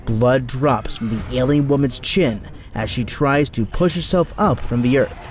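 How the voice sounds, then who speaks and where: A man's voice: mid-range voice, measured pace, faint music behind. A man giving dramatic scripted narration as the narrator of an action audio drama.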